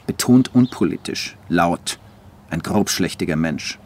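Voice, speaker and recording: Low-pitched, dry literary man. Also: expressive, a little slow, darkish tone, slight background noise. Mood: detached narrating. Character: dry, literary male novelist turned film writer. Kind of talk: German radio drama acting with narration.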